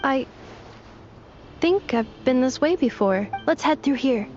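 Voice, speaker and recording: Polite, high-voiced young guide. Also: female voice, lively intonation, slow, a little mellow, dull audio, soft background music. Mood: calm, helpful. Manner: polite, composed. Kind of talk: video game voice acting.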